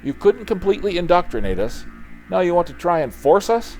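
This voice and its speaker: A bold comic book superhero, acted out by a man reading aloud, defiant and wisecracking. He pitches his lines up with punchy, melodramatic emphasis and big swings, over faint music. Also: very animated, brisk, darkish tone.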